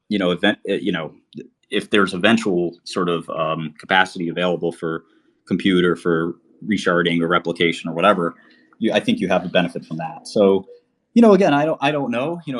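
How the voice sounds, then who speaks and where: A man from Albania talking casually on a livestream, rambling with many fillers and restarts. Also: low-pitched. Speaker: podcast host.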